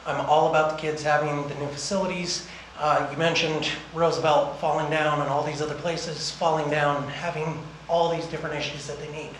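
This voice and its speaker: A man's voice: on the high side, fairly bright tone, echoey room, slight background noise, poor audio. A man giving a direct, earnest public comment at a community meeting in a county meeting room. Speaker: community member asking a question.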